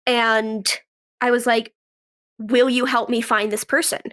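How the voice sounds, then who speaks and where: A young woman with a fairly high voice speaks as a guest in an interview. She talks casually and conversationally, telling her story with plenty of "like" and little quotes of what she said or thought. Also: level tone, measured pace, fairly bright tone. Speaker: podcast guest, actress and writer.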